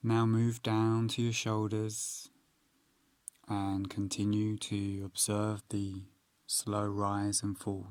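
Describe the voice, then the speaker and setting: A man leading a guided meditation in a calm, unhurried, instructional manner with long pauses. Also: fairly low pitch, dry recording.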